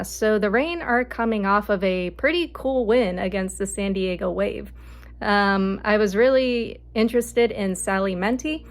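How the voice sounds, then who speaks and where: A young woman hosting her own sports podcast, casually reviewing a soccer match with many fillers, her voice still recovering from an illness. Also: mid-range voice. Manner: casual, filler-heavy delivery, reads lineups quickly. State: recovering from voice loss.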